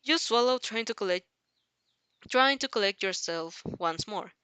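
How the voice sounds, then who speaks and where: A woman reading a dramatic fan fiction story aloud as narrator, halting now and then over the text. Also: medium pitch, lively intonation, a bit bright, smooth, dry recording. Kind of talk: dramatic audio story narration in second person.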